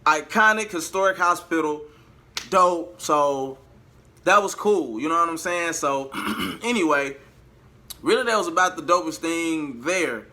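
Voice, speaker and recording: A man telling a story casually to his viewers, animated and slangy, slipping into the words of people he quotes. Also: a little high, a little slow. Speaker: vlogger and amateur singer.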